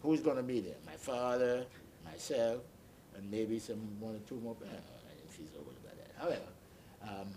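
An elderly man recalls his life in an interview, speaking as a deliberate, halting storyteller who repeats and explains. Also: lowish voice, expressive, dark tone, a little hiss or hum. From Bahamas. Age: 80s or older. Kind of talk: oral history recollection.

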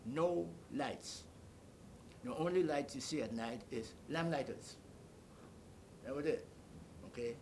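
An older man giving an oral history interview, telling his story haltingly and searching for words. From Bahamas. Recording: clearly noisy, low rumble behind.

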